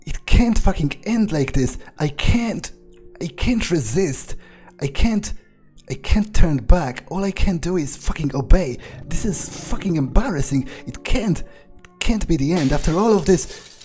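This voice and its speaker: A man improvising in character during a video game playthrough, speaking haltingly and broodingly in a husky voice. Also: on the high side, lively intonation, warm tone, soft background music. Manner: halting, broody, heavy swearing. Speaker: gamer role-playing his character aloud.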